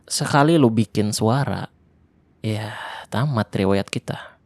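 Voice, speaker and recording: A soft-spoken, lonely young survivor of a zombie apocalypse, played by a man in an ASMR roleplay. He talks slowly and intimately in Jakarta slang, with a somewhat low voice. The sound is close and bone-dry, with no room echo. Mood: hushed. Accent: Jakarta colloquial Indonesian.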